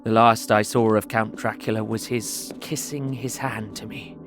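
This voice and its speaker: A man's voice: on the low side, steady tone, normal speed, natural voice. Actor as an anxious young Englishman over music. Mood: defeated dread. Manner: earnest, fearful, literary. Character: anxious, trapped young Englishman writing his journal. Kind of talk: dramatic first-person journal narration, audio drama.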